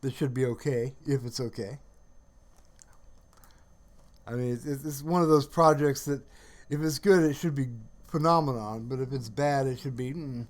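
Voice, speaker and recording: A man chatting casually on a livestream in a halting, rambling way, often searching for words. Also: medium pitch. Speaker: live streamer.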